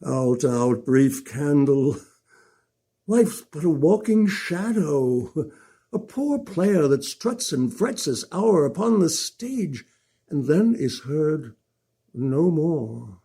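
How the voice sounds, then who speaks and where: A man playing a weary, despairing king in a Shakespearean soliloquy, with a gravelly, husky voice and measured delivery. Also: fairly high pitch, big pitch swings, dark tone, full character voice. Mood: bitter. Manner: slow, measured. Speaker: amateur actor.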